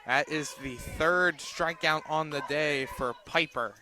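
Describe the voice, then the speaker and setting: A man doing live radio play-by-play of a softball game as the announcer. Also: on the high side, takes it slowly. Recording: noisy outdoor broadcast with crowd behind. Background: crowd and outdoor ambience.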